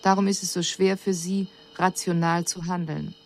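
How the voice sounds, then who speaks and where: A lowish female voice, an actress as an alien observer coolly dissecting another species. She speaks in a measured, didactic and formal way, in a radio drama with faint noise behind her. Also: little pitch movement, close to an everyday voice. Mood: cool, explanatory. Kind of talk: scripted radio drama report.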